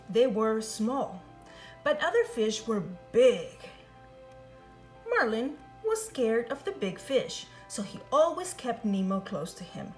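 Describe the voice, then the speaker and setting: A woman reading a children's picture book aloud in an expressive, sing-song storytelling manner. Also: a little high. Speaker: storybook reader.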